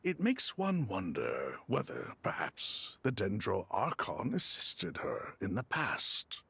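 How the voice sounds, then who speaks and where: A man playing a solemn, learned desert god in a video game, speaking formally in a gravelly, measured way. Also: fairly low pitch, wildly swooping pitch, pushed and bright, no room sound, exaggerated voice. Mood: solemn, reflective. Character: solemn, learned male desert god loyal to his king.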